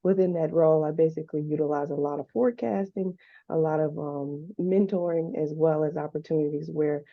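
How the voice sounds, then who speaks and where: A woman's voice: low voice, a little fast, no echo. A woman program manager introducing herself upbeat on a video call, with frequent ums. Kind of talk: webinar self-introduction. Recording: dull, dry call audio.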